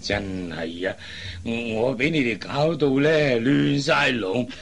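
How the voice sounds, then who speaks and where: A man's voice: mid-range voice, takes it slowly, vocal fry, noisy background. A man playing a puzzled, kindly middle-aged father in a Cantonese radio drama, hesitant and exclamatory. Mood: surprised, pleased. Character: puzzled, fretful, kindly father of grown daughters.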